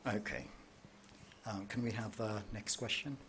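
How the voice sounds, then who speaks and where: A man moderates a conference Q&A with a lowish voice. He talks in a measured way and fills pauses with ums. A faint hiss sits under the room sound. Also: steady tone, fairly bright tone.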